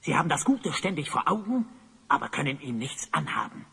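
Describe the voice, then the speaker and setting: An actor playing a tyrannical, gloating evil sorcerer in an audio drama, pompous and commanding. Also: male voice, a little high, big pitch swings, fairly quick, gravelly, sharp tone, breathy edge, full character voice. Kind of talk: German audio drama acting. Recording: long cavernous reverb.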